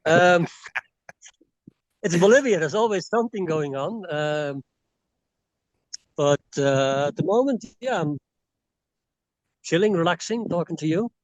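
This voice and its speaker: A man's voice: fairly high pitch, animated, a little slow, long pauses, no echo, rough recording. A man from Belgium with a slightly Irish-tinged accent, a guest chatting casually in an interview with many fillers and restarts. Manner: rambling, frequent fillers and restarts. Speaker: expat guest. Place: rural property in Bolivia.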